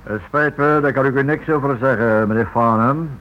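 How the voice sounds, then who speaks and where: An actor playing a formal, brusque lawyer in a Dutch radio play, speaking with clipped, dismissive courtesy. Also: male voice, medium pitch, moderate pace, close to an everyday voice. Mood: dismissive, polite. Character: brusque, formal male lawyer refusing to help. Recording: muffled old radio play recording.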